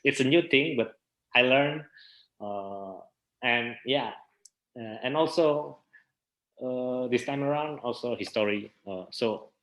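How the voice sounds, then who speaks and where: A man's voice: medium pitch, slow, pauses often, a bit bright, rough recording. A man from Indonesia with an Indonesian accent, a native Indonesian speaker, answering interview questions hesitantly as he searches for words in English. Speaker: theater practitioner interview guest.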